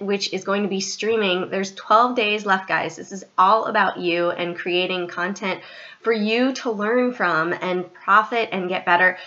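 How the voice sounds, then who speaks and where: A woman's voice: medium pitch, pushed and bright, highs cut off. Stuffy-voiced woman talking trading charts. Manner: chatty, direct address, frequent self-corrections. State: stuffy and slightly scratchy from allergies. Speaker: trading channel host. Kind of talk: casual market commentary over charts.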